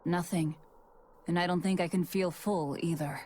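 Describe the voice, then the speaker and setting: Low, curt, guarded demon woman voiced by an actress. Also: steady tone, moderate pace, warm tone, slight background noise, lightly acted. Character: cold, vengeful young female demon fighter. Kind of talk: English video game voice acting.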